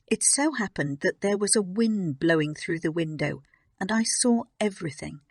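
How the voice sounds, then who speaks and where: A man's voice: high voice, animated, normal speed, vocal fry, dry recording, studio quality, pitched above the performer's own voice, full character voice. A man voicing a watchful, grave old woman in a horror story, explaining things in a serious manner. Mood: ominous. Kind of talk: horror story character dialogue.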